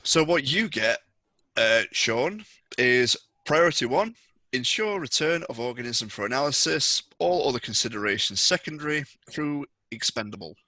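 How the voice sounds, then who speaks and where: Bright-voiced man running a tabletop game. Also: mid-range voice, animated, moderate pace, dry recording. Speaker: game master. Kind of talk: narrating a tabletop roleplaying game.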